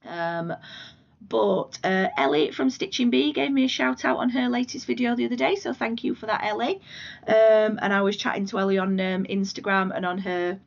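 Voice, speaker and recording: A woman from the UK with a hoarse voice from a cold, chatting casually about her crafts at home in a rambling, self-deprecating way. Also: mid-range voice, moderate pace, dull audio. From United Kingdom. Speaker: flosstube vlogger. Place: living room at home.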